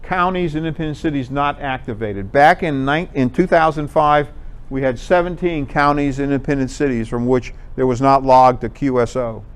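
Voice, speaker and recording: Clear-voiced man giving an informal presentation. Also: medium pitch, normal speed. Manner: conversational, explanatory. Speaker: presenter at an amateur radio club talk. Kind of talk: informal slide presentation to a group.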